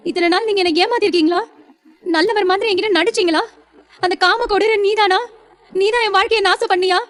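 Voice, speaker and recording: Betrayed young wife, played by a woman: high-pitched, fast, tearful, accusing, audio serial melodrama. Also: a little mellow. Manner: tearful, pleading, agitated. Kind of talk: Tamil audio serial drama acting.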